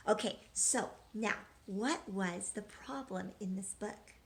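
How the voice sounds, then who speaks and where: A woman teacher reading a rhyming picture book aloud to young children, gentle and engaging, pausing to ask questions. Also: medium pitch.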